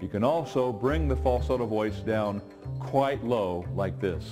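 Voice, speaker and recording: A man's voice: lowish voice, a little slow, loud music behind the voice. A man giving a vocal demonstration.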